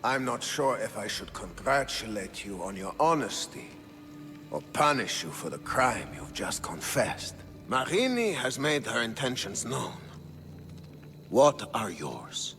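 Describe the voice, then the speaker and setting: A man's voice: mid-range voice, big pitch swings, measured pace, a bit bright. A man acting a haughty, scornful Spartan king in a video game, commanding and sardonic, with a declamatory delivery. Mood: stern, challenging. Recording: reverberant stone hall, music underneath.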